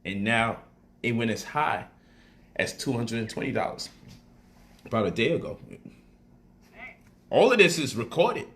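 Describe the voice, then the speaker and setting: A man's voice: mid-range voice, big pitch swings, measured pace, long pauses, a bit bright. A man casually explaining stock options on a livestream, repeating phrases for emphasis and switching into loose street slang.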